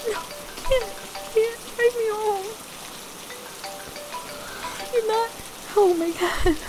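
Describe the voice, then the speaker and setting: A woman acting a distressed, frightened young woman in an intimate audio roleplay, pleading and apologetic, haltingly repeating herself. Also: high-pitched, poor audio. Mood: anxious, urgent. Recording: steady rain noise over the voice. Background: rain.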